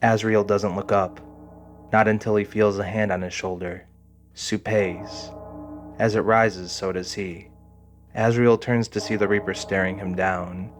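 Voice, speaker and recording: Low-voiced man narrating dramatic fiction. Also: little pitch movement, a little slow, soft background music.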